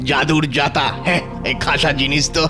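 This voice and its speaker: Man acting a high-pitched greedy cartoon villager. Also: level tone, fairly quick, over music, close to an everyday voice. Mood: greedy, gloating. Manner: exaggerated muttering to himself, repeating words. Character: greedy, jealous, scheming village man, elder brother. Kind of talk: Bengali cartoon folk-tale acting.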